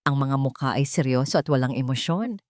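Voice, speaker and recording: Low-voiced woman narrating a dramatic story. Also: fairly quick, a little mellow, no echo, studio quality.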